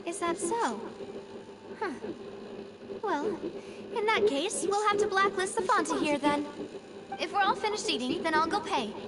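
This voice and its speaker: A woman's voice: extremely high pitch, expressive, measured pace, pauses often, a loud crowd. A woman voicing a confident, genteel young leader in a video game, playful and gracious, with a poised, musing manner. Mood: easygoing. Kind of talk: video game character acting.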